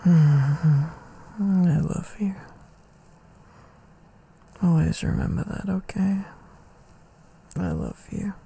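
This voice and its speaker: A man doing an intimate boyfriend ASMR roleplay, affectionate and soothing, with a soft breathy voice that often drops to a whisper, close on the mic. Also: on the high side. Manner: affectionate, soothing, murmuring with hums. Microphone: close microphone.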